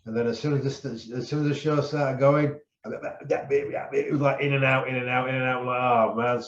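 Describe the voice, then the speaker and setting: Show promoter, loud and repetitive, casual podcast chat, echoey muffled sound. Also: male voice, medium pitch, steady tone, normal speed. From England. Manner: loud-voiced, repeats phrases. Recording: roomy, dull sound.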